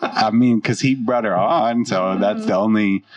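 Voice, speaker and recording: Low-voiced chatty man, slightly off-mic. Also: measured pace, no room sound, distant mic. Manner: chatty, laughs often. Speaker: podcast co-host. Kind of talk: casual chat.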